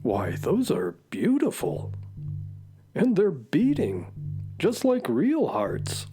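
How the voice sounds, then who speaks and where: A woman's voice: fairly low pitch, wildly swooping pitch, takes it slowly, heavily acted. A woman playing a kindly, folksy space horse who tells stories in a children's radio drama. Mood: gentle, hesitant. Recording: music behind the voice.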